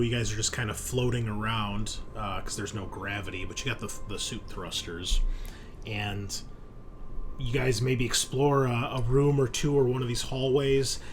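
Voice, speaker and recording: A man narrating a tabletop role-playing game as game master, conversational and halting as he thinks aloud. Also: fairly low pitch, slight background noise.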